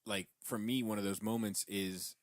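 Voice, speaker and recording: Male podcast co-host, low voice, dry close sound, earnest casual explainer who swears. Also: fairly even intonation, normal speed, no echo. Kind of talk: casual chat about comic books.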